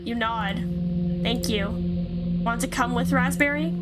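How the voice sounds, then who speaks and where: A woman's voice: fairly high pitch, a little slow. A woman streamer reading visual novel text aloud and reacting casually, with swearing. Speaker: VTuber game streamer. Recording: music behind the voice. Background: game music.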